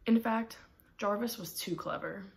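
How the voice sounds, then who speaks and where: A young adult woman reading a children's picture book aloud in a deliberate, expressive storytelling manner. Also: medium pitch, vocal fry, faint music behind. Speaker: storybook reader. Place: small room at home, indoors.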